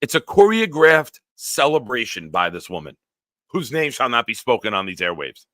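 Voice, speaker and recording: A man in his forties hosting a live-stream show, reading viewer comments aloud and bantering bluntly with a smooth, deep voice. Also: big pitch swings, moderate pace, a bit bright, no echo. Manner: banters with chat, blunt and profane.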